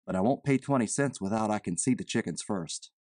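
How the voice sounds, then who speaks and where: A woman's voice: very deep voice, animated, fairly quick, darkish tone, no echo, studio quality, voice pushed down. A woman voicing a nervous, evasive man who poses as a chicken buyer, with folksy, ungrammatical, rambling talk. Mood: nervous, persuasive.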